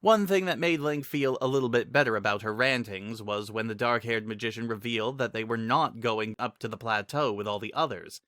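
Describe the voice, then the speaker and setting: A man's voice: mid-range voice, fairly quick, few pauses, a bit bright, dry recording, studio quality. A man reading a fantasy adventure story aloud as a scripted narrator. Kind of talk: dramatic reading of fantasy fiction.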